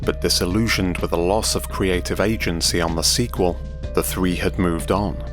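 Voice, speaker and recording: Male documentary narrator, low register, reading a prepared script over prominent music. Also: fairly even intonation, normal speed.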